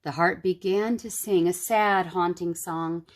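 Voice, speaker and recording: A woman storyteller reading a picture book aloud to children in an expressive, dramatic and emphatic way. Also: fairly low pitch, unhurried.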